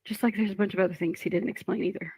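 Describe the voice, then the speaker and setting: A woman on a live stream talking wearily and flatly, answering questions from her chat. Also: medium pitch, rapid-fire, breathy edge, dry recording.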